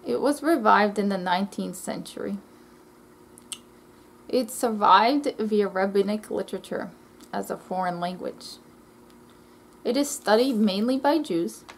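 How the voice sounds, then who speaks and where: A woman's voice: mid-range voice, lively intonation, unhurried, pauses often, slight background noise. A young woman from Israel chatting on her bedroom webcam vlog, reading notes with frequent ums and self-corrections. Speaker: language vlogger. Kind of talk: casual educational vlog. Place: small bedroom, indoors. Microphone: laptop webcam mic.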